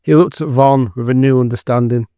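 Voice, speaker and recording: A man's voice: medium pitch, fairly even intonation, fairly quick, warm tone, smooth, no echo. A man narrating a scripted audio drama as storyteller.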